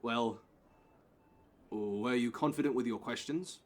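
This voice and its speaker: A man's voice: mid-range voice, slow pace, close to an everyday voice. A man playing a blunt, firm grey-haired security guard and mentor in an audio play. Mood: questioning.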